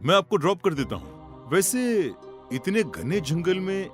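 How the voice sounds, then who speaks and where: A man's voice: sits high, big pitch swings, moderate pace, soft background music, pitched above the performer's own voice. A man playing a helpful but nervous young driver in a horror story, earnest and a little hesitant. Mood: friendly. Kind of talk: audio horror story acting.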